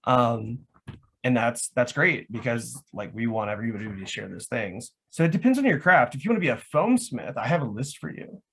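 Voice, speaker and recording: A man's voice: mid-range voice, expressive, normal speed, gravelly, a bit bright, slightly rough. A man talking casually and enthusiastically as a guest about his hobby, rambling and saying "like" often. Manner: enthusiastic, rambling, frequent "like" as filler. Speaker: guest, LARP foam crafter.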